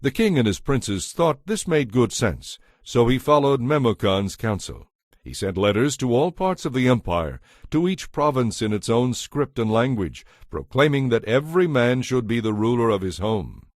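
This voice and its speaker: A man's voice: mid-range voice, sing-song, normal speed, no echo, studio quality. A man narrating a dramatized audio Bible in a scripted, storytelling style. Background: music.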